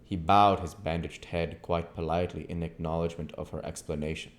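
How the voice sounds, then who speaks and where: A man's voice: deep voice, steady tone, fairly quick. A man narrating a classic novel aloud as an audiobook reader. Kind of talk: storybook narration, read aloud.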